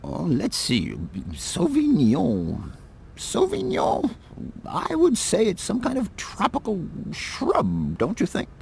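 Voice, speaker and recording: Elderly male professor: gravelly creak, hugely swooping pitch, kindly, dithering, theatrical, slight noise. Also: huge pitch swings, slow, darkish tone, heavily acted. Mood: musing. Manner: hesitant, musing, theatrical. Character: doddery, kindly older male university professor. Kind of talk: video game voice acting.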